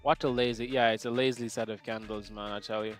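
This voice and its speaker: Chatty joking trading-stream host. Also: male voice, lowish voice, fairly even intonation, a little fast, faint music behind. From Jamaica. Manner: joking, chatty, reads out chat questions. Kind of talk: casual live-stream chat with viewers. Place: home setup, UK.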